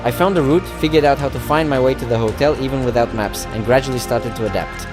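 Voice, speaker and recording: A man narrating his own running challenge as a reflective voice-over, in a steady and earnest way, recounting events step by step. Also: mid-range voice, fast talker. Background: music.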